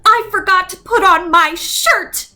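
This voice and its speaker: A woman's voice: very high-pitched, unhurried, bright, forceful tone, faint music behind, pitched above the performer's own voice, exaggerated voice. A woman voicing a cheerful little male frog child in a storybook read-aloud, with plain childlike exclamations.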